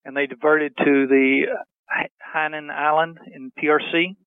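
A man giving a halting, matter-of-fact briefing as an official spokesman, on thin archive audio. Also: on the high side, little pitch movement, clean tone, no echo, phone-quality sound. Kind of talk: briefing statement.

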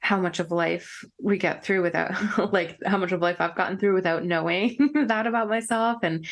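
A woman's voice: lowish voice, fairly even intonation, moderate pace. A woman hosting a podcast, asking questions in a casual, curious way full of "like" fillers. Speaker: podcast host. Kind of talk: podcast interview.

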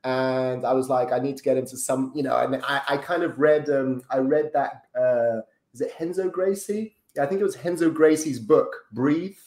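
Brazilian-born man, relaxed guest, roomy echoey sound. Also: mid-range voice, moderate pace, hardly any pauses. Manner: relaxed, chatty, many ums and tag questions. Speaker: podcast guest. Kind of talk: casual interview chat. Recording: roomy echo.

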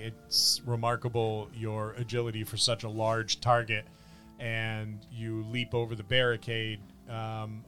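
Man, game master, low bright voice, halting descriptive battle narration, music behind. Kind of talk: improvised narration of a tabletop fantasy battle.

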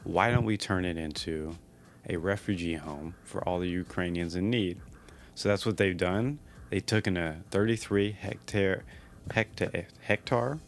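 A man's voice: low-pitched, unhurried, slight background noise. A man co-hosting a casual podcast, chatty and upbeat, laughing easily as he shares stories. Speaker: podcast co-host.

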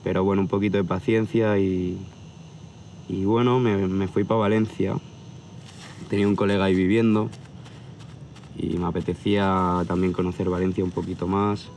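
A tattoo artist from near Barcelona, about thirty, talks in a very deep, flat voice. He rambles easily through his stories with small hesitations. He is recorded outdoors at a viewpoint, with a faint hiss of crickets around him. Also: normal speed, long pauses. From from near Barcelona, Spain. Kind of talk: casual interview chat. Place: outdoor hilltop viewpoint at sunset. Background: crickets and outdoor ambience.

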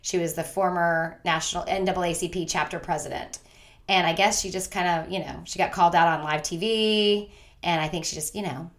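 A woman's voice: on the low side, fairly even intonation, brisk. Woman co-host making joking asides. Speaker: podcast co-host. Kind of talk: casual gossip chat.

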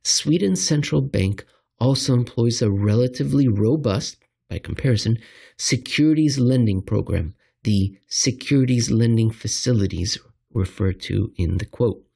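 A man reading a written financial commentary aloud, with deliberate phrasing and drawn-out emphasis on certain words. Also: mid-range voice, animated, vocal fry, dark tone, husky.

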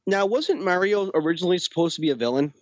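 A man's voice: high-pitched, quick pace, no echo. A man in his thirties ranting casually with lots of swearing as a podcast co-host. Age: 30s. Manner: profane, excitable ranting. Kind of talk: casual chat. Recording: dull lo-fi recording.

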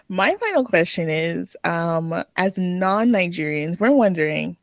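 A woman from Canada co-hosting a book podcast, chatty and animated in casual chat. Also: lowish voice, slow pace, dry recording, clean studio sound. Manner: animated, chatty, laughs often. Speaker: podcast co-host.

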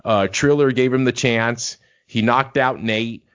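A man's voice: medium pitch, measured pace, a bit bright, smooth, muffled recording. A man co-hosting a casual podcast, talking in a laid-back, rambling way with lots of fillers. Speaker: podcast co-host. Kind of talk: casual chat.

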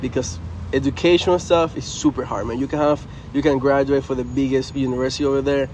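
A man's voice: mid-range voice, steady tone, fast talker, a little mellow, a little hiss or hum. Venezuelan-accented young man, earnest and loose. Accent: Venezuelan Spanish. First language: Spanish. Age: young adult. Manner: earnest, loose, frequent "man" and "you know". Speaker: college baseball player, guest. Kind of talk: casual interview chat.

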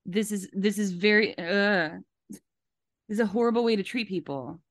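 A woman's voice: mid-range voice, moderate pace, dry recording, clean studio sound. A woman hosting a podcast, giving casual, emphatic commentary and repeating phrases for stress. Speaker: podcast host.

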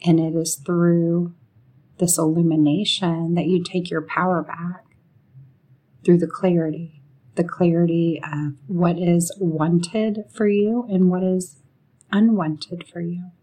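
A woman leading a guided meditation in a soothing, unhurried manner, pausing often between short phrases. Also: fairly low pitch, steady tone, mellow, rough recording.

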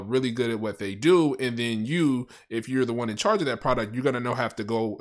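A man hosting a podcast in casual conversation, informal and emphatic, often agreeing and repeating himself. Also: medium pitch. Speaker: podcast host.

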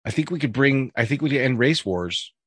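A man in a casual podcast chat, reading a list aloud and breaking off into jokey asides. His voice sits at an ordinary middle pitch, full of "uh"s and restarts. The sound is dry and close, with no room around it. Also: animated, a little fast, breathy edge, no room sound. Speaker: podcast co-host.

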